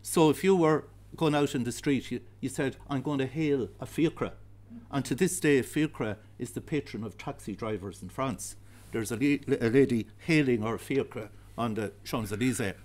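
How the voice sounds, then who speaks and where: Irish male lecturer, 50s, medium-pitched voice, discursive and hesitant, addressing a history talk. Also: moderate pace, darkish tone. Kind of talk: public lecture with slides. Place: darkened heritage centre auditorium.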